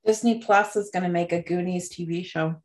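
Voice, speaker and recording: A woman co-hosting a podcast in casual chat, relaxed, with short replies. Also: on the low side, fairly quick. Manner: relaxed, brief replies, wraps up the show. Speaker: podcast co-host.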